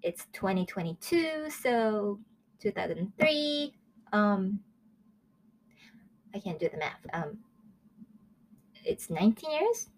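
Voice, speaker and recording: A woman in her early twenties from Japan, hosting a casual solo review show in a chatty, excitable way. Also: medium pitch, slow pace, long pauses, slight background noise, low-quality recording. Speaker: host of a manga review show.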